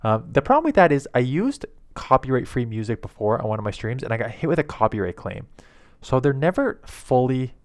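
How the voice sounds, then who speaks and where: A man, rambling casually to camera in a monotone, low-key way on an old headset mic at his home desk. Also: no room sound, studio quality. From Canada. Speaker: YouTube content creator. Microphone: old headset microphone.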